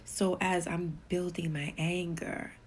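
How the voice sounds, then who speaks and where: A middle-aged woman telling a personal spiritual story in a hushed, whispery, rambling way. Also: fairly low pitch, flat delivery, slow, a little hiss or hum. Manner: rambling, repeats herself.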